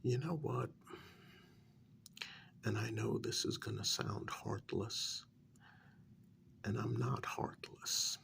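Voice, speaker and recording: A man playing a stern, sardonic interrogator of a captive vampire in a soft-spoken ASMR role-play, halting and probing, drifting into whispers. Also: medium pitch, gravelly, heavily acted. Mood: blunt, measured.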